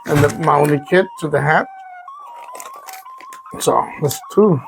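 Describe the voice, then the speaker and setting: A man who is a native Vietnamese speaker gives a casual, unscripted product unboxing, haltingly searching for words. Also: fairly high pitch, vocal fry, a little hiss or hum, low-quality recording.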